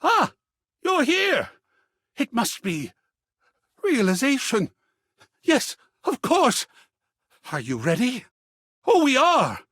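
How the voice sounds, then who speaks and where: An actor playing a flamboyant, seductive living work of art in a video game, theatrical and affectionate, with breathy husky endearments. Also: male voice, very high-pitched, wildly swooping pitch, a bit bright, no room sound, clean studio sound, heavily acted. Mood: excited, delighted. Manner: theatrical, drawn-out purring endearments with sudden exclamations. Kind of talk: theatrical game character acting.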